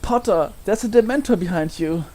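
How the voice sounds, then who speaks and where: A woman's voice: mid-range voice, animated, normal speed, mellow, close to an everyday voice. A woman playing an arrogant, spoiled young schoolboy bully in an audio drama, speaking in a haughty, mocking manner. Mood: taunting.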